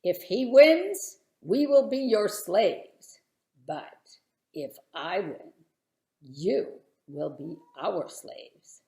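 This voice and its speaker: A woman voicing a huge, boastful male giant warrior, bellowing taunts with drawn-out words in a storybook telling. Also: medium pitch, expressive, creaky, dark tone, roomy sound, full character voice. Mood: menacing taunting.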